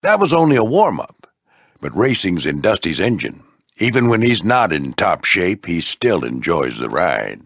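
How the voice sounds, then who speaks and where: An older American man voicing a gruff veteran warplane flight instructor in a video game, husky and folksy, coaching firmly but with encouragement. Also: deep voice, wildly swooping pitch, normal speed, a bit bright, no room sound, studio quality, exaggerated voice. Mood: wry storytelling. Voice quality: gravelly, husky. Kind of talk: scripted video game character lines.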